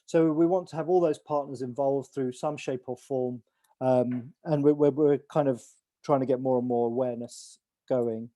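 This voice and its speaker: A man's voice: medium pitch, fairly even intonation, moderate pace, warm tone. A man hosting an online call, briefing on a competition in a rambling way, with restarts and fillers. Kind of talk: casual briefing on a video call.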